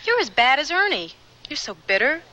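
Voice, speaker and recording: A woman's voice: sits high, big pitch swings, fairly quick, sharp tone, full character voice. An actress playing an earnest, troubled young woman in a radio drama, with an emotional delivery. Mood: reproachful.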